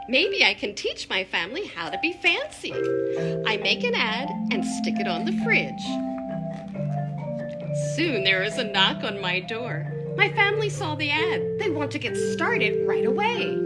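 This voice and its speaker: A woman as an exuberant, high-voiced little fancy girl. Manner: theatrical, stretches out key words. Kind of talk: children's picture book read-aloud. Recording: live marimba music behind the reading.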